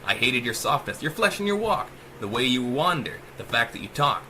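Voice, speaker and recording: A man's voice: medium pitch, big pitch swings, a little fast, pushed and bright, slight background noise. A man voicing a cruel, mocking female artificial intelligence with a teasing, mechanical drawl, in a dramatic horror audiobook. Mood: hateful. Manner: taunting, mechanical tease, drawling.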